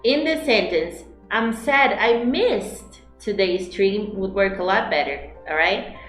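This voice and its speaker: A woman from Brazil with a Brazilian Portuguese accent teaches English on a livestream, explaining points in a clear, upbeat way. Her voice sits at a middling pitch. It carries a roomy echo, with soft music in the background. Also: lively intonation, slow.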